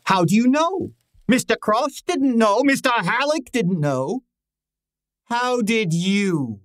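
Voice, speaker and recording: A man acts out a brilliant, pompous detective in a radio mystery. The voice is high for a man and slow, with every formal phrase precisely placed, recorded close and dry. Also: big pitch swings, fairly bright tone, dry recording, exaggerated voice. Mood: accusing, triumphant. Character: pompous, brilliant male private detective, theatrical and exacting. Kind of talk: old-time radio detective drama acting.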